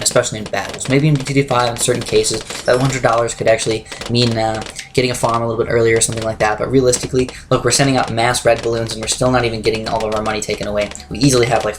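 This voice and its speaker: A young man with a slightly raspy voice, talking quickly through game strategy as a let's play commentator. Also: mid-range voice, background noise. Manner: fast, chatty, self-correcting strategy talk. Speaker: gameplay commentator.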